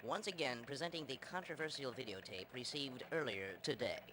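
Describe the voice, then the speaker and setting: A man voicing an excitable chicken news anchor in a game's parody newscast, formal and dramatic. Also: medium pitch, fairly quick, slight background noise, close to an everyday voice. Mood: solemn announcing. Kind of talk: parody newscast in a video game.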